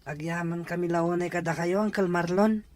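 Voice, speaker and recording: A man's voice: sits high, steady tone, moderate pace, slight background noise, lightly acted. A man playing a respectful, earnest school-age nephew who is wary of his absent father, in an Ilocano radio drama. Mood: grateful.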